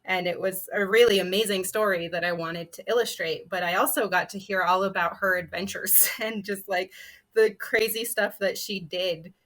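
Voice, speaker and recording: A woman's voice: mid-range voice, fairly even intonation, normal speed, a bit bright. A woman telling personal stories in a panel discussion, in a casual, conversational way. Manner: casual, peppers talk with "like". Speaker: panelist, comic artist and illustrator.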